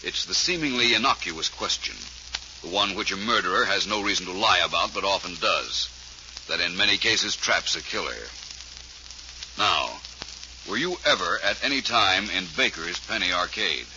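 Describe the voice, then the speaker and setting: An actor playing a shrewd, urbane detective in a 1940s radio drama, with polished, dryly courteous questioning. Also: male voice, low-pitched, lively intonation, normal speed, sharp tone, heavily acted. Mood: coolly probing. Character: shrewd, urbane male detective, coolly probing. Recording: noisy, muffled 1940s radio recording.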